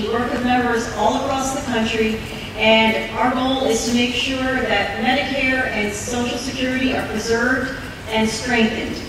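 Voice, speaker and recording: A woman policy advisor addressing a town hall, with a naturally loud voice and a friendly, self-deprecating manner. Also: on the high side. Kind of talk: informal public talk. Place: large echoey meeting hall. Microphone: room mic heard from a distance. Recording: echoey hall recording from far off with background noise.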